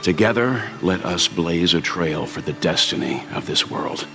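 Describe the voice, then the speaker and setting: An actor playing a calm, steadfast adventurer who rallies his companions in a video game. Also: low voice, a little slow, gravelly, over music. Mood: steady, rallying. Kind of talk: dramatic game voice acting.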